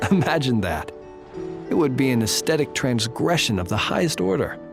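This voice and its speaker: An actor playing a wry, courteous, fussy warrior in a video game, with dry, articulate and formal phrasing. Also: male voice, mid-range voice, big pitch swings, moderate pace, creaky, over music. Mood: wryly amused.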